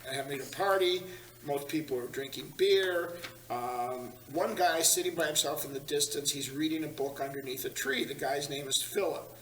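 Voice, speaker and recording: Man, scripted narration, fairly high voice, distant mic, echoey meeting room. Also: fairly bright tone, slight background noise. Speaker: narrator reading stage directions. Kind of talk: scripted narration at a table read. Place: large meeting room, group around tables.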